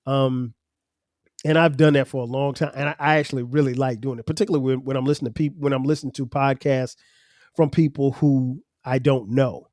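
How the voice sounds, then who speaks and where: Slightly nasal South Carolina man, mellow. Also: mid-range voice, steady tone, brisk, no echo, clean studio sound. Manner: rambling, conversational, many restarts. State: congested sinuses. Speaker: podcast host. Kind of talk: casual solo podcast talk.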